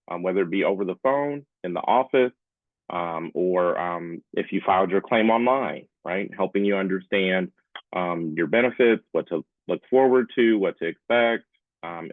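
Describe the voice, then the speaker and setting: A man's voice: deep voice, dry recording. A man in his forties giving an informal webinar talk in a conversational way, often checking in with his listeners. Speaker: presenter, public affairs specialist. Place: small room at home, indoors. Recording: muffled phone-like webcam audio.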